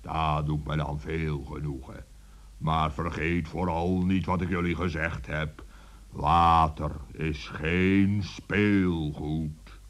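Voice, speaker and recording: An actor playing a kind, dignified giant in a children's radio play, solemn and measured. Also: male voice, very deep voice, flat delivery. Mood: solemn, kindly.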